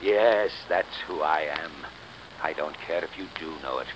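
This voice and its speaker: A man's voice: a bass voice, measured pace. A man playing a greedy, murderous villain, defiant and gloating, in theatrical 1930s radio drama style. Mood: gloating menace. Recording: noisy vintage 1930s radio recording.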